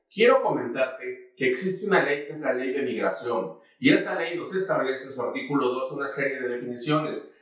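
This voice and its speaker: Male host explaining legal terms, mid-pitched, heard from a distance in a roomy space. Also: fairly bright tone. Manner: direct address, rhetorical questions. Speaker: show host. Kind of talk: explaining the law to viewers. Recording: echoey, distant from the mic.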